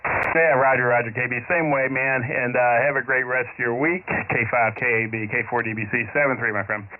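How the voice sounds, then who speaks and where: A man's voice: mid-range voice, steady tone, brisk. A man calling in on ham radio, chatting in an easygoing way with plenty of fillers. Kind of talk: casual on-air radio chat. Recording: narrow shortwave radio signal with noise.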